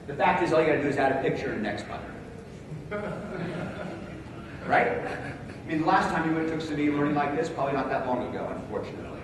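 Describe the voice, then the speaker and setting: A man's voice: fairly high pitch, expressive, quick pace, long pauses. A man with a big voice giving a conference talk, speaking casually with many fillers and calling on the audience for answers. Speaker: conference presenter. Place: large conference hall. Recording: echoey hall, distant mic, room noise.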